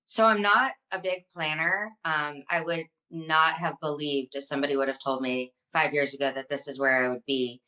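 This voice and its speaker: A woman's voice: sits low, fairly even intonation, moderate pace, hardly any pauses, pushed and bright, low-quality recording. A woman speaking as an interview guest, answering earnestly in a conversational way. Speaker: interview guest, online advocate and influencer.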